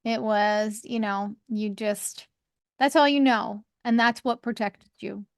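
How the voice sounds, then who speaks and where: A woman with a higher voice, co-hosting a podcast and talking openly in a rambling, candid way. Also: slow pace, dry recording, clean studio sound. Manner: rambling, restarts, laughs often. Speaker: podcast co-host. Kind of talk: candid conversational chat.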